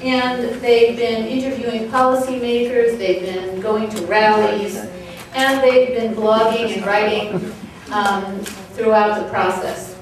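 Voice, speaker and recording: A woman's voice: a little high, fairly even intonation, slow pace, far from the mic, a crowd in the background. A woman in her forties giving opening remarks at a podium, reading from notes with frequent ums and uhs. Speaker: event organizer opening a panel. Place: conference room with banner. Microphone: podium mic on a stand, recorded by a far camera. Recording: echoey room, voice from afar.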